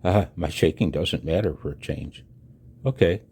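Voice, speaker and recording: A man in his sixties giving a personal voice-over announcement, halting and reflective, with a husky low voice. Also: darkish tone. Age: 60s. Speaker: amateur radio hobbyist and video narrator. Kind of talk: personal voice-over announcement, partly read from own text.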